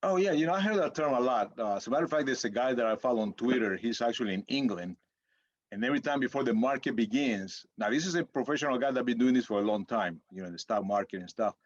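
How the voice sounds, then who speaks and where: A man's voice: medium pitch, expressive, quick pace, no room sound. Rambling middle-aged father on a podcast. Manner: rambling, searching for words. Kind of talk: casual chat. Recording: dull lo-fi sound, dry room.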